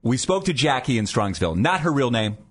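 A male morning radio host talks in a chatty, easy way, restarting sentences as he goes. His pitch swings lively up and down. His voice sits in the middle of the range. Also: brisk, husky. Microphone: studio mic.

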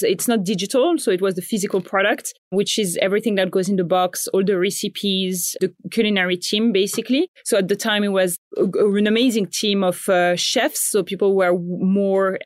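A woman in her thirties who grew up in Morocco, telling her story in a casual interview, thoughtful and pausing to rephrase often. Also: mid-range voice, steady tone, dry recording, studio quality. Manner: thoughtful, frequent restarts and fillers. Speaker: founder and guest.

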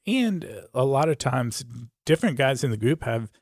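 A man's voice: medium pitch, sing-song, moderate pace, dry recording. Casual male podcast co-host, dry sound. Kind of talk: casual conversational podcast talk.

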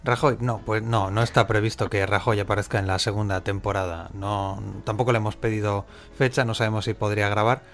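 Low-voiced Spanish man answering questions. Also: steady tone, fairly quick, hardly any pauses, a bit bright. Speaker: scriptwriter answering listener questions. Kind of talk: casual Q&A answers.

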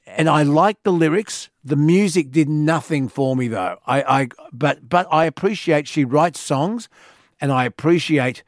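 A middle-aged man hosting a talk radio show, gruff and teasing. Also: mid-range voice, dry recording, clean studio sound. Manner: gruff, teasing, self-mocking. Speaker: radio host.

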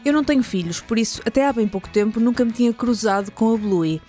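A woman's voice: fairly high pitch, expressive, fast talker, over music. A woman with a European Portuguese accent hosting a podcast, reading a scripted documentary narration. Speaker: podcast host.

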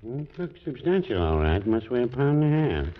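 An actor playing a mild older small-town druggist in a 1940s radio comedy, deadpan and hesitant. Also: male voice, mid-range voice, brisk, close to an everyday voice. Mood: mild, dry. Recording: muffled, noisy old radio recording, clicks.

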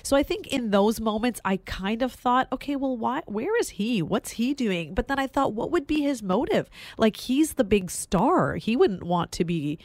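A woman's voice: a little high, animated, moderate pace, hardly any pauses, darkish tone, no echo. A woman chatting casually as a book club participant, very informal, voicing her own past thoughts as quotes. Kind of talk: casual chat about a book.

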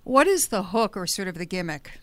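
Brief: female radio host, chatty and punning, smooth clear voice, close and dry studio mic. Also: medium pitch, sing-song, fairly quick, no echo. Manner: chatty, punning, laughs often. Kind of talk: casual radio interview. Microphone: studio microphone.

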